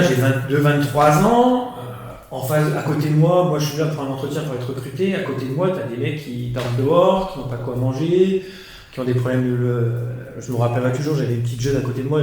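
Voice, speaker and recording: A man's voice: medium pitch, a little hiss or hum. Male guest, 30s, conversational storyteller, echoey room. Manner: animated storytelling with many restarts and fillers. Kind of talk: conversational life-story interview.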